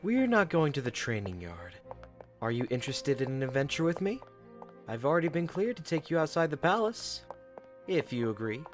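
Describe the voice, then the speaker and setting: A man playing a loyal, gently teasing young knight in a fantasy audio drama, courteous and understated. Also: a little high, very animated, moderate pace, soft background music. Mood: playful, courteous. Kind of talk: fan audio drama acting.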